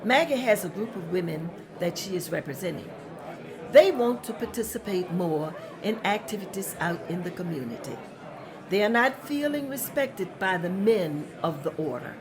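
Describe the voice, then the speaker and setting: A woman's voice: lowish voice, lively intonation, moderate pace, crowd noise. A woman narrating stage directions in a table read, plain and matter-of-fact.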